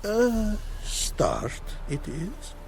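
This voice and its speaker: An actor playing a courteous, proud scholar-artisan in a video game, speaking in formal, inverted, archaic phrasing. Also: male voice, high-pitched, wildly swooping pitch, a little mellow, a little hiss or hum, heavily acted. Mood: cautious, earnest. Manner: formal, inverted archaic syntax, deliberate emphasis. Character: courteous, proud foreign male rune craftsman, melancholy scholar. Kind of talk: video game cutscene acting.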